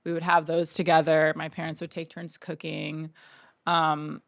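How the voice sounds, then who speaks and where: A woman's voice: low voice, completely flat delivery, normal speed, vocal fry, dry recording, clean studio sound. A woman in her thirties from Oregon, telling family stories in a casual interview, rambling with lots of fillers. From Oregon, United States. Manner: rambling, filler-heavy storytelling. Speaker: painter, interview guest.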